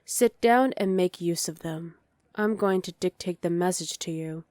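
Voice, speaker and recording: Woman playing a low, velvety evil queen. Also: unhurried, darkish tone, no echo, lightly acted. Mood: menacing, commanding. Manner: commanding, condescending, coolly threatening. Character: dominant evil sorceress queen, imperious and menacing. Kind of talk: ASMR roleplay.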